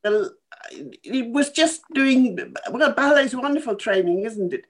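A woman in her eighties from Kenya, a former dancer telling her life story in a halting, thoughtful way with small laughs. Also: on the high side, lively intonation. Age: 80s. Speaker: interview guest, former dancer. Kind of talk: casual remote interview.

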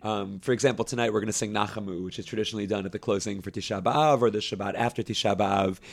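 A young man who directs a camp choir, explaining his work at length in a relaxed interview, with fillers and restarts. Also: on the low side, quick pace, vocal fry. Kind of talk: conversational interview.

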